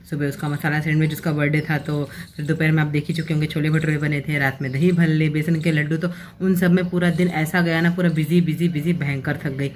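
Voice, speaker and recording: A woman's voice: low-pitched, darkish tone, slight background noise. A woman in her thirties talking through a family vlog at home, chatty and explaining things at length with rambling asides. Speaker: family vlogger.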